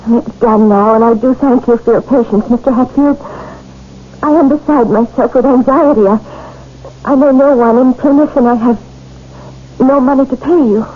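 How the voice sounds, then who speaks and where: A woman's voice: fairly high pitch, steady tone, normal speed, faint music behind, lightly acted. An actress playing the anxious, helpless wife of an accused man in a 1970s radio drama, formal and earnest. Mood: anxious, pleading. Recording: muffled old radio recording.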